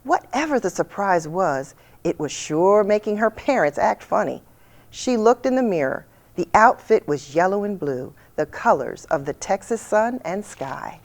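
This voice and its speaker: Expressive woman reading a children's storybook. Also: medium pitch, unhurried. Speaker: reader of a children's picture book. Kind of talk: storybook read-aloud narration.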